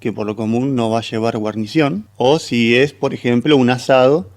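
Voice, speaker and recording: A man's voice: mid-range voice, steady tone, normal speed. A man explaining as a cook in a conversational, instructive way. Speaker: gastronomy professional, guest cook. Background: faint music.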